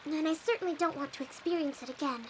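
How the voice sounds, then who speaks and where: A woman voicing a gentle, level-headed young female leader in a video game, measured and encouraging. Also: sits high, noisy background, lightly acted. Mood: thoughtful. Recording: clear game ambience behind.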